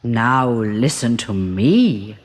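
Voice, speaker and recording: A woman voicing a male river king spirit, grave and commanding, with a slow, measured, stately delivery. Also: a bass voice, expressive, voice pushed down, full character voice.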